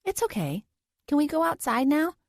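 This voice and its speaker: A woman's voice: high-pitched, big pitch swings, moderate pace, no room sound, studio quality, pitched above the performer's own voice. A woman voicing a frightened teenage girl held captive who feigns sweet compliance, in audiobook dialogue. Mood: timid, hopeful.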